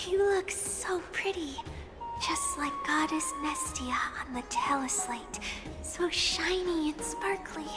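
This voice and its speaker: Game child role: tiny innocent girl, very high pitch, slow sweet delivery, music behind. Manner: earnest, polite, admiring. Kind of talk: video game voice acting. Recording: loud music under the voice.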